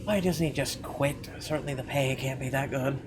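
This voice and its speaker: A man's voice: medium pitch, steady tone, moderate pace, background noise. A man hosting a gaming video, reading game narration aloud and adding casual, irreverent, profane reactions. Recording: game music clearly behind the voice. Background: game music.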